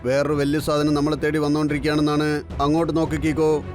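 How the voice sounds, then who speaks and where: A man voicing a loyal, matter-of-fact talking car in a children's cartoon, monotone. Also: fairly high pitch, fast, natural voice. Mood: matter-of-fact, concerned. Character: loyal talking superhero car, male sidekick. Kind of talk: dubbed children's cartoon acting. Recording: music behind the voice.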